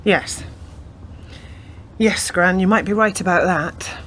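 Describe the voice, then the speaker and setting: A woman in a radio play acting a persistent, earnest police detective who lays out facts deliberately. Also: lowish voice, fairly bright tone, slight background noise. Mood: careful, probing.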